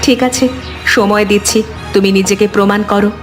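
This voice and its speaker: A young wife played by a woman speaks with wounded dignity and firm resolve. The delivery is earnest cartoon melodrama, with clear music playing behind her. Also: mid-range voice, fairly even intonation, a little fast, lightly acted. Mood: hurt, reproachful. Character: wounded but firm young daughter-in-law, self-respecting wife. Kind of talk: Bengali cartoon family melodrama voice acting.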